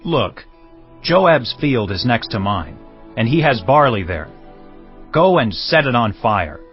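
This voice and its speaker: An actor playing a proud, scheming young prince with emphatic, declamatory delivery in an audio Bible drama. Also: male voice, mid-range voice, very animated, slow. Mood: commanding. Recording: slightly muffled, music underneath. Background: background music.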